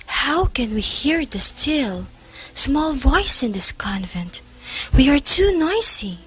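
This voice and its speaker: A woman's voice: sits high, sing-song, takes it slowly, fairly bright tone, heavily acted. A woman playing a sarcastic, gossiping nun in a stage play, mocking and theatrical. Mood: ironic lament. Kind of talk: amateur stage play acting.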